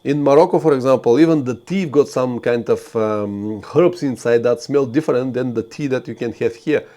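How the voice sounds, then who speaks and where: A man's voice: medium pitch, moderate pace, warm tone. Man giving travel advice. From Bulgaria. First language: Bulgarian. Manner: earnest, advisory, frequent fillers and restarts. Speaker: motorcycle travel channel host. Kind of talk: live stream talk to viewers.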